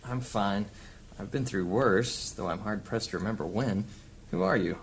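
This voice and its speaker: A woman's voice: rumbling low, normal speed, creaky, noisy background. A woman acting as a proud fantasy adventurer recovering from wounds. The delivery is blunt and insistent with formal phrasing, over faint audio-drama ambience. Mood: weary, wry.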